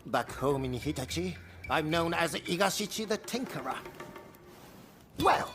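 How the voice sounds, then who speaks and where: An eccentric video game tinkerer with a high voice that leaps all over. He is excitable and theatrical, flipping between fretful and delighted. Music plays underneath. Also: a little slow. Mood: eager, scheming. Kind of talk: video game cutscene acting.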